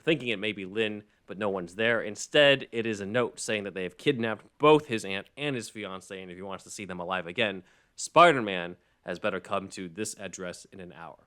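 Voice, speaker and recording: A man's voice: fairly low pitch, sharp tone. A man hosting a podcast, explaining and recapping in a casual way with frequent asides. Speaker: podcast host.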